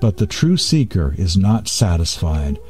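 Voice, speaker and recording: A man with a fairly low-pitched, soft-edged and relaxed voice presents a spiritual program. He reads and explains devotional texts deliberately, pausing between phrases. Faint music runs underneath his voice. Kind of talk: reading and commenting on spiritual texts.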